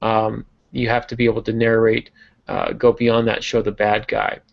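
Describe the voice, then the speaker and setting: A man with a gravelly, fry-tinged voice explains writing craft on a podcast, working through his points with plenty of uh and um. The audio is dull and lo-fi. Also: fairly low pitch, monotone, moderate pace. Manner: explanatory, frequent uh and um fillers. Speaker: podcast host and novelist.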